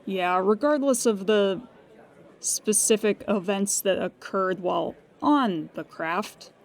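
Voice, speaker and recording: A woman's voice: medium pitch, darkish tone, close to an everyday voice. A woman playing a dryly weary, procedural insurance claims agent in an improvised, conversational audio drama. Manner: procedural, measured, wry asides when musing.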